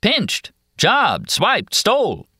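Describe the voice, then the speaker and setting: A man's voice: mid-range voice, big pitch swings, normal speed, bright, forceful tone, no room sound, clean studio sound, pitched above the performer's own voice. A man voicing a brash, teasing male patient with a mock-innocent manner in an audiobook. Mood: gleeful. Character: brash, mocking redheaded male psychiatric patient.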